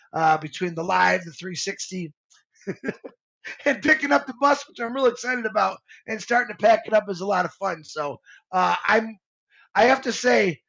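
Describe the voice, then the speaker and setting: Fifties guy rambling on a live stream, high gravelly voice, kinda lo-fi. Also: fairly bright tone. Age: 50s. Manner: heartfelt, rambling, with many restarts and fillers. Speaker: co-host of a live show. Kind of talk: casual live-stream talk.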